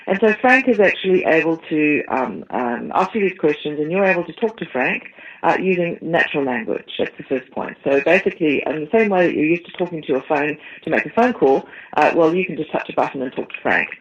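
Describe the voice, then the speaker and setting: A woman's voice: deep voice, animated, a little fast. A woman with a New Zealand accent, a tech company CEO, explaining her business at length as an interview guest. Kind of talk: interview answers. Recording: dull lo-fi line.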